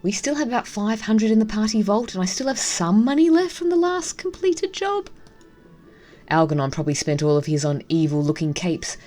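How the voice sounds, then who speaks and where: A woman's voice: mid-range voice, big pitch swings, normal speed, mellow, faint music behind. A woman reading a game's narration aloud with casual commentary, wry and amused. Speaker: game streamer.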